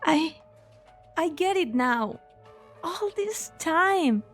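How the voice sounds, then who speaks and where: An earnest young female researcher, voiced by a woman, with a very high voice. She sounds anxious and expressive, sometimes hesitant, in game drama acting over faint music. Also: extremely slow, heavily acted. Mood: shaken. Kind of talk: English fan dub of a game story.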